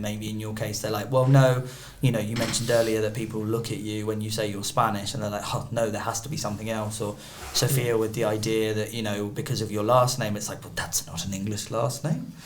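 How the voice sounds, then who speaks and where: A man hosting a casual interview chat, lively and probing with his follow-up questions. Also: lowish voice, brisk, few pauses, background noise. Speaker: podcast host.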